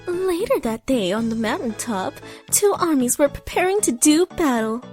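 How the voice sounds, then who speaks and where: A woman's voice: high voice, sing-song, normal speed, a little mellow, soft background music. A woman playing a wry, playful female storyteller in a comic radio play. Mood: storytelling.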